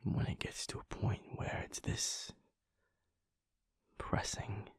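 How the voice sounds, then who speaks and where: A man voicing a caring werewolf boyfriend in an intimate audio roleplay, speaking in a soft, hushed, confiding way. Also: slow, dry recording, whispered, clean studio sound, natural voice. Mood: tender. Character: caring werewolf boyfriend, young adult male.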